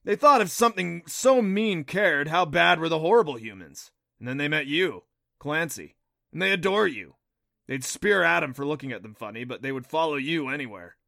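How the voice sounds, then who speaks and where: A man's voice: a little high, expressive, moderate pace, creaky, sharp tone, no echo, clean studio sound, voice pushed up, exaggerated voice. A man voicing a proud, impatient magical horned beast, explaining things emphatically in an audiobook. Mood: earnest. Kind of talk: audiobook character voice.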